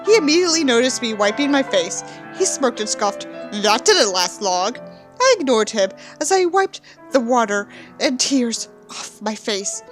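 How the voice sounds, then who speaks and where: Animated high-voiced woman reading theatrically. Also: normal speed, soft background music. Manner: sarcastic, sweary, theatrical. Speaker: book reviewer and reader. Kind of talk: dramatic reading of a book with mocking asides.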